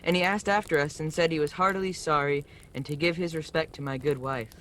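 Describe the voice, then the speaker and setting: A man plays a gentle, grieving father in a radio play. He speaks kindly and haltingly, keeping a brave face, over faint noise on a home call recording. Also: fairly high pitch, steady tone, lightly acted. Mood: subdued, kindly.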